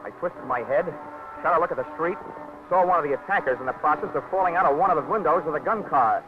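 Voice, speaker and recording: A man's voice: fairly high pitch, fast, natural voice. A man playing a wisecracking, hard-boiled insurance investigator who narrates a 1940s radio drama in a dry, sardonic way. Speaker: narrator and lead. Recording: tinny old radio broadcast with music score.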